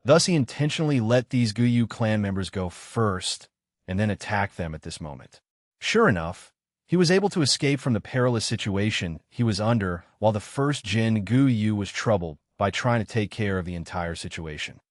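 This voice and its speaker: A man narrating a fantasy novel in a steady, dramatic manner. His voice sits low and is recorded dry and close. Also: normal speed, no echo. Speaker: audiobook narrator.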